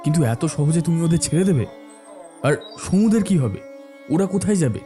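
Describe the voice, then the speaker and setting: A man's voice: a little high, expressive, normal speed, mellow, soft background music, lightly acted. Man playing earnest, indignant young villager. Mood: worried. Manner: earnest, challenging. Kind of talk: cartoon story dialogue.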